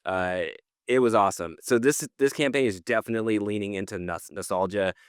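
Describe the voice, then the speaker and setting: Middle-aged man, deep clean voice, casual slangy podcast co-host, informal news chat. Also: fairly quick, clean tone, no room sound, clean studio sound.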